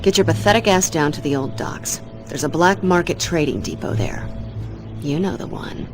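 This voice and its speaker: A woman's voice: low voice, very animated, normal speed. A woman playing a haughty, ruthless crime heiress in a game cutscene, imperious, cutting and contemptuous. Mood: cold, disdainful. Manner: imperious, foul-mouthed, cutting. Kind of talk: dramatic video game cutscene acting. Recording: background music under the voice.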